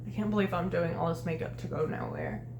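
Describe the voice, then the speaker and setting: A woman's voice: on the low side, wildly swooping pitch, fast, gravelly, reverberant, background noise. A woman in her late twenties rambling casually to the camera while doing her makeup, chatty and laughing often. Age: late 20s. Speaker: vlogger. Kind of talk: casual rambling get-ready-with-me chat.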